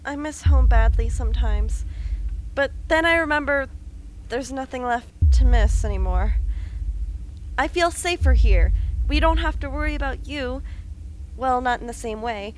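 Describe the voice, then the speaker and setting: A woman playing a gentle, perceptive young girl in an amateur radio drama. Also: high voice, a little slow, slight background noise, rough recording. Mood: wistful.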